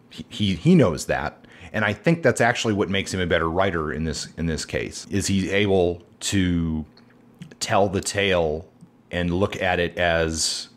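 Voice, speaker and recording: A man with an American accent co-hosting a podcast, talking conversationally and thoughtfully about books, sometimes feeling for his phrasing. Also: deep voice. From United States, from Seattle and the Midwest. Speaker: podcast co-host. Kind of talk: conversational literary discussion.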